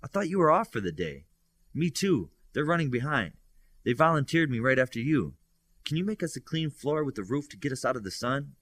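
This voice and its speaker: A man's voice: medium pitch, big pitch swings, fairly quick, a bit bright, pitched above the performer's own voice. A man voicing a friendly, casual female student asking for help in an audiobook.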